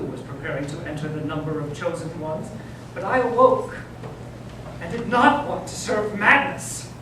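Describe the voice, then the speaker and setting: A man playing a stern, bitter old Grand Inquisitor in readers theater, declaiming from hushed menace up to forceful pronouncement. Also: high-pitched. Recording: echoey noisy hall, distant microphone.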